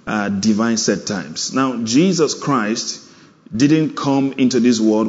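A man's voice: mid-range voice, a little mellow. A male preacher giving a sermon, punctuating it with "Amen" and "All right" to draw responses from the congregation. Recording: dull sound in a long-reverb hall.

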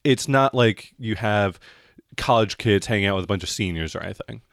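A man's voice: fairly low pitch, brisk, vocal fry, fairly bright tone, no echo, studio quality. A man acting as game master, casually narrating a tabletop role-playing scene.

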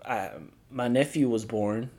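A young man co-hosting a podcast with dry, teasing humor and an easygoing manner. His voice has an ordinary male pitch and his talk stays loose and conversational. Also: steady tone, measured pace, a little mellow. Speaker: podcast co-host. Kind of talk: casual podcast chat.

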